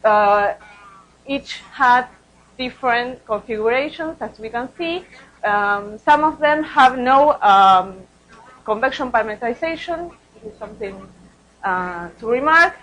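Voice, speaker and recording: A woman's voice: fairly high pitch, lively intonation, measured pace, fairly bright tone, rough recording. A woman researcher presenting study results at a conference, speaking hesitantly and often repeating words.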